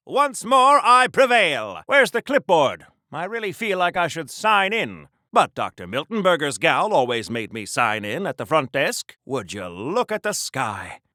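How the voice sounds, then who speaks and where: An old-fashioned video game superhero, earnest and a little awkward. His voice sits fairly high and swings widely in pitch, with a bright, forceful ring. He chatters primly in quaint mid-century exclamations, recorded close and dry. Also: dry recording, exaggerated voice. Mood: triumphant, then musing. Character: earnest, awkward, prudish old-time golden age superhero.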